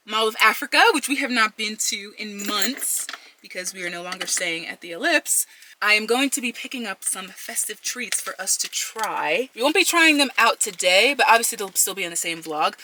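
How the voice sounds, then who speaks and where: Woman, 30s, chatty vlogger voice, bright and forward, light background hiss. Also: mid-range voice. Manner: chatty, addresses viewers as y'all and folks. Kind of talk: casual vlog chat to camera. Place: home kitchen, then a car.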